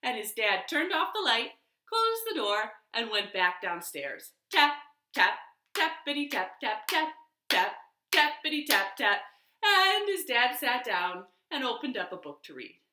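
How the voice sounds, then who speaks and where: A woman telling a children's story in an animated, expressive way with dramatic pauses, as a library storyteller. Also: on the high side, a little hiss or hum. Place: living room. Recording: roomy, echoey home recording.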